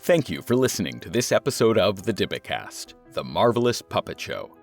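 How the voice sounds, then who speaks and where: A man's voice: on the low side, big pitch swings, a little fast, faint music behind. A man reading scripted podcast credits as an announcer.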